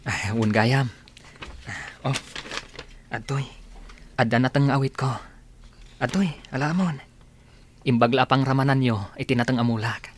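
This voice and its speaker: A man's voice: medium pitch, fairly even intonation, a little slow, long pauses, slight background noise, lightly acted. A man playing a meek, lovesick village lad. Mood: pleading. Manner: meek, pleading.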